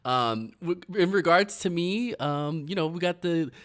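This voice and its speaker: A young male radio host with a very lively, bouncing pitch keeps the interview casual and upbeat. He laughs often and fills gaps with "um" and "like". He talks from a radio studio. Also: measured pace, smooth.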